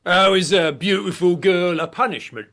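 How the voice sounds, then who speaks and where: A man's voice: sits high, moderate pace, fairly bright tone. A man playing a curious, charmed listener who flirts in a radio drama dialogue.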